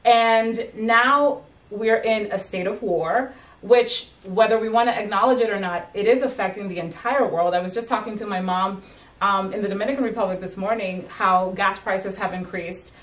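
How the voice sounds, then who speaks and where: A woman hosting an interview, speaking in a halting way with many fillers and restarts. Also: mid-range voice, a bit bright, roomy sound, distant mic, rough recording. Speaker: host and magazine editor. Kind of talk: conversational interview.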